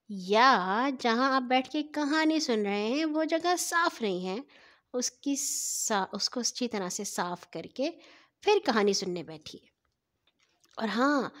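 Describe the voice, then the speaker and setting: A woman storyteller narrating scripted stories for children in a deliberate, phrase-by-phrase way. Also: fairly high pitch, fairly bright tone.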